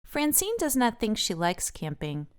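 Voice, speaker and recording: A woman's voice: a little high, wildly swooping pitch, a little slow. A woman narrating a picture book aloud for children as the host of a read-aloud.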